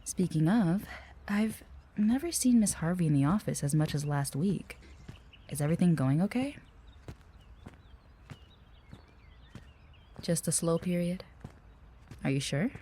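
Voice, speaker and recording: A woman plays an earnest office receptionist in an audio drama. Her voice is low and mellow, and she speaks politely and conversationally, with a careful, hesitant manner. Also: animated, normal speed, breathy edge. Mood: friendly, probing. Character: friendly, earnest, cautious female office receptionist. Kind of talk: audio roleplay acting.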